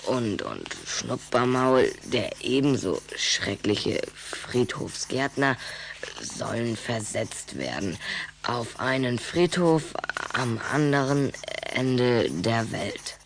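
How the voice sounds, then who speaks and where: Low-voiced, hesitant schoolboy, noisy recording. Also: female voice, sing-song, slow, creaky, exaggerated voice. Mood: glum, halting. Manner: hesitant, stammering. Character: earnest, anxious, hesitant human schoolboy. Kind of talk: children's radio play acting.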